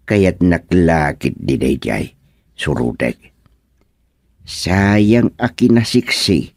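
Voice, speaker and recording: A man with an Ilocano accent playing an excitable comic village man in a radio drama, exclaiming in drawn-out, emphatic phrases. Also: low voice, dry recording, clean studio sound, heavily acted. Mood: agitated, lamenting.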